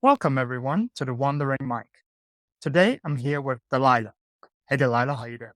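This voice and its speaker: Man hosting, halting with ums. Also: medium pitch, fairly bright tone, dry recording, soft background music. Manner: halting questions with many ums. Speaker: podcast host. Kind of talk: casual interview hosting.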